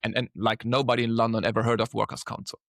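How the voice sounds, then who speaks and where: A man's voice: medium pitch, flat delivery, quick pace, vocal fry, a bit bright, dry recording, clean studio sound. A man in his thirties with a German accent, speaking frankly as a guest in a casual podcast interview and repeating words as he restarts. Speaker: guest, solution engineer.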